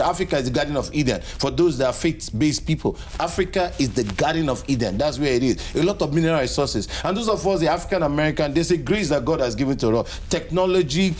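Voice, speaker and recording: A man's voice: a little high, animated, fast talker, hardly any pauses, darkish tone, slight background noise. A man with a Nigerian accent speaking emphatically as an interview guest, repeating points to persuade. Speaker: interview guest, conference organiser. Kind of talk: persuasive broadcast interview answer.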